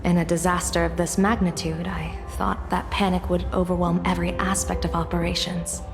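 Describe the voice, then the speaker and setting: An actress voicing a composed, courteous female general in a video game, speaking in a measured, formal way. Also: fairly low pitch, little pitch movement, vocal fry, big-room sound, over music. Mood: composed, admiring. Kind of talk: game voice acting.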